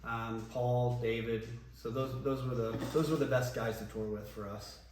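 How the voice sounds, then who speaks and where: A man, a former band singer, reminiscing casually in an interview, relaxed and rambling with many ums and restarts. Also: mid-range voice, normal speed. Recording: echoey room, voice from a distance, faint noise.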